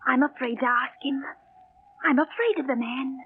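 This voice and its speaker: An actress playing a timid, plaintive poor widow who runs an inn, in a 1930s radio drama. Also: female voice, sits high, normal speed, breathy edge. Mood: fretful, afraid. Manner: plaintive, anxious. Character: fretful, timid poor widowed innkeeper mother. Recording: thin, phone-like 1930s radio recording.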